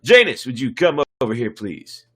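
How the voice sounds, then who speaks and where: A man's voice: medium pitch, big pitch swings, normal speed, fairly bright tone, slightly rough. A man playing a stern, sardonic starship captain with a dry, commanding manner in a sci-fi story reading.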